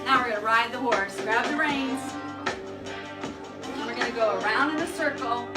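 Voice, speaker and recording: A woman's voice: a little high, normal speed, over loud music. A woman leading storytime for preschoolers, speaking in an encouraging singsong with short, simple phrases. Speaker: library storytime leader for preschoolers. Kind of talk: children's storytime reading and guided action song.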